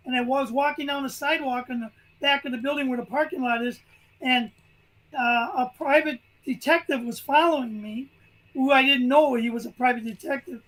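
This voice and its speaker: High-voiced older man. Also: fairly bright tone, low-quality recording. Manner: rambling, restarts sentences. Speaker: guest. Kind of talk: casual chat, storytelling.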